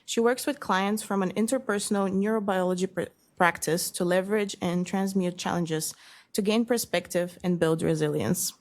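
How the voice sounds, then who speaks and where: A woman's voice: mid-range voice, moderate pace. A woman hosting a seminar, welcoming the audience hesitantly before introducing the guest speaker. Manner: hesitant, many fillers, then reads bio. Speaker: seminar host. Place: lecture room.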